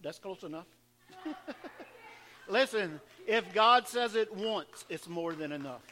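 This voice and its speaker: A male pastor leading a church service, fervently urging the congregation to praise and chuckling through casual asides, his voice a little hoarse. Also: sits high, animated, slow pace, pushed and bright, low-quality recording. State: slightly hoarse from worshiping. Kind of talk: church service exhortation and announcements. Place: church sanctuary. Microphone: handheld or stage microphone.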